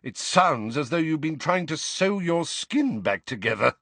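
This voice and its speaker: A man voicing a stern, sharp-tongued middle-aged witch mother. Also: fairly high pitch, big pitch swings, measured pace, gravelly, slightly rough, dry recording, pitched above the performer's own voice, heavily acted. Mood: scolding. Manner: scolding, rising.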